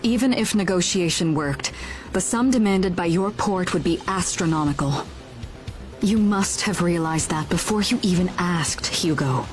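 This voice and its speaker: An actress playing a composed, shrewd woman in authority in a video game drama, with a measured delivery. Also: fairly low pitch, soft background music, natural voice. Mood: stern, skeptical.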